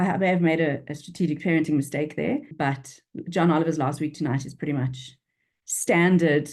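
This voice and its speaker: A woman's voice: low-pitched, a little mellow. A woman in her forties with a Durban South African accent, chatting frankly in an interview over a video call, often restarting her sentences. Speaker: freelance copywriter, guest. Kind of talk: casual interview chat.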